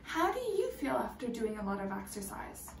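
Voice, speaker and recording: High, animated woman reading to children. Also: normal speed, gravelly, echoey room, a little hiss or hum. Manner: expressive, prompting listeners with questions. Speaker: teacher reading aloud. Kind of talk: children's storybook read-aloud with questions.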